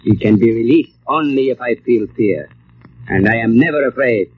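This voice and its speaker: An actor playing an arrogant, villainous mind-controlling mastermind in an old radio drama, with a suave, menacing, imperious manner. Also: male voice, mid-range voice, moderate pace, breathy edge, lightly acted. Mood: grandiose, menacing. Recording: tinny, noisy old radio recording.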